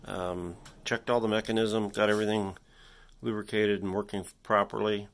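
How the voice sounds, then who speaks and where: A man giving a casual workshop walkthrough, halting and folksy as he thinks aloud, recorded indoors on a handheld device. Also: fairly low pitch, flat delivery, a little hiss or hum. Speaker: hobbyist electronics restorer presenting his repair.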